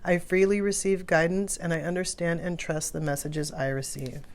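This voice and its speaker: A low female voice talks through an oracle card reading as the host. She sounds informal and reflective, as if chatting to viewers. Faint ambient noise sits behind her. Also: fairly even intonation, normal speed. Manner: informal, musing, conversational. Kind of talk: casual rambling card reading, partly read aloud from a guidebook.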